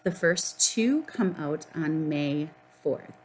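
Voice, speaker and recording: A woman talking casually to camera about books as a vlogger, enthusiastic and emphatic, often pausing mid-sentence. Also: low voice, animated, a little mellow, a little hiss or hum. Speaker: book vlogger presenting a reading list.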